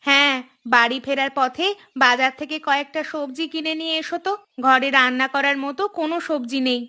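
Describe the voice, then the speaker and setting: Bright, high-pitched caring village wife. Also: female voice, steady tone, fairly quick. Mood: fond, brisk. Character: caring, hardworking young village wife and daughter-in-law. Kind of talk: Bengali cartoon voice acting.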